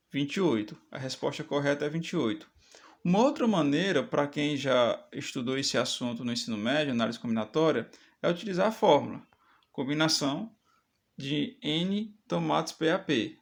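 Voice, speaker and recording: Math teacher guy, kinda high gravelly voice, keeps asking "tá certo?". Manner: explanatory, checks in with "tá certo?". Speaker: math teacher for exam prep. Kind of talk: step-by-step exam lesson.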